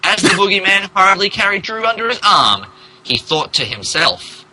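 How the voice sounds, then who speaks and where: A man's voice: high voice, big pitch swings, normal speed, noisy background. A man narrating a comic dramatic reading of fan fiction in a theatrical, emphatic manner. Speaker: podcast host reading as narrator.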